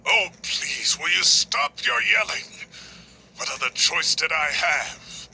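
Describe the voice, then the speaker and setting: A man's voice: a little high, expressive, slow, gravelly, pushed and bright, a little hiss or hum, heavily acted. An actor playing a stubborn old male sage who grumbles defensively, in game voice acting. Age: older.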